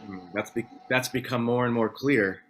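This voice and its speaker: A man's voice: mid-range voice, steady tone, normal speed, slight background noise, lightly acted. A man at a table read playing a worried, weary man surviving a disaster. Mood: thoughtful.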